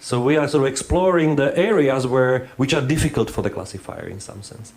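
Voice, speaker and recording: A man's voice: medium pitch, a little fast, a little mellow. A man who is not a native English speaker, giving a hands-on workshop lecture. He thinks aloud and halts often, restarting his sentences.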